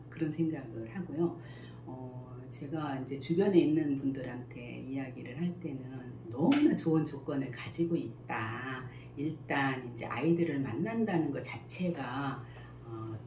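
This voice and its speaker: A middle-aged woman with a very deep, low voice. She answers questions slowly and thoughtfully, often pausing to search for words. The recording is roomy, with a faint hiss behind her. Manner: calm, thoughtful, many pauses and fillers. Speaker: school principal and picture book author. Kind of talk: interview answers. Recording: echoey room with faint noise.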